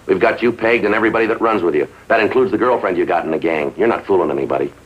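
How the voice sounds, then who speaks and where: An actor playing a stern, no-nonsense police sergeant in 1950s TV crime drama style, clipped and authoritative. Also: male voice, low voice, rapid-fire. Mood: stern warning. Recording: dull lo-fi 1950s TV soundtrack.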